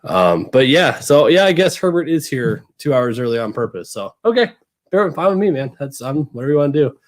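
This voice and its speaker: Male podcast host, laid-back, joking, lots of ums, mid pitch. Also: a little fast. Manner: relaxed, joking, lots of fillers. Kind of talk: casual chat.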